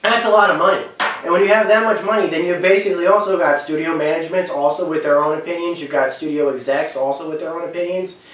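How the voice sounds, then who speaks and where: A man's voice: fairly high pitch, fairly even intonation, fast, fairly bright tone. Echoey, distant man talking emphatically. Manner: emphatic, repeats phrases for stress. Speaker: video commentator. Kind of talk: unscripted opinion talk about film and games. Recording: very echoey room, distant mic.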